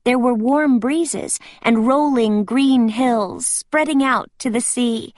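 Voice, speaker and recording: A woman reading a children's storybook aloud with expressive, deliberate phrasing. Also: on the high side, no echo, studio quality.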